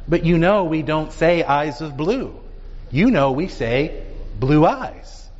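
A man teaching a Bible class, talking in an animated way and dotting his points with rhetorical questions. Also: a little high. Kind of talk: lecture with audience questions. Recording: dull sound with long reverb in a hall.

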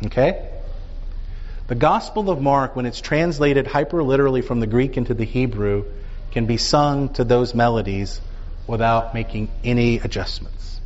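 Man, Bible teacher, animated lecture, rhetorical questions, dull sound, long reverb. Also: medium pitch, moderate pace.

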